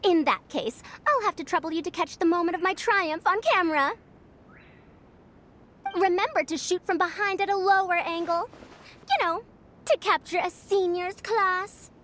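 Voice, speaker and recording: A woman's voice: very high-pitched, moderate pace, a bit bright, a little hiss or hum. A woman voicing a proud, self-assured female scholar who insists on her seniority. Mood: smug. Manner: haughty, commanding. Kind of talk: video game voice acting.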